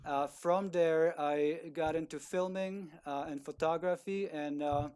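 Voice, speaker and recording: A man with a Czech accent speaking as a guest on a video call, polite and halting, with many fillers and restarts. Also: a little high, steady tone, darkish tone, clear, resonant tone. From Czech Republic. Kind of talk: casual talk on a video call.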